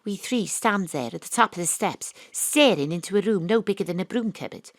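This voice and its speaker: A brash Welsh detective. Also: female voice, medium pitch, animated, brisk, dry recording, clean studio sound. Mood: wry. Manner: wry, brash, self-narrating. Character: brash Welsh woman private detective, ex-rugby player. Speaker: narrator and lead.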